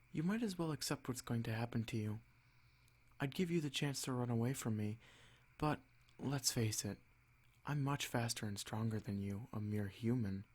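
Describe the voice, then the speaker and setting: A man voicing an arrogant, possessive male vampire in a measured, softly taunting ASMR roleplay monologue. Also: mid-range voice, slightly rough, natural voice. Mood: smug, admiring.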